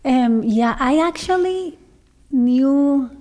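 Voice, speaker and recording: Soft-spoken Costa Rican woman guest. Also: sits high, expressive. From Costa Rica. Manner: gentle, thoughtful, with pauses and restarts. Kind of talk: personal testimony in a podcast interview.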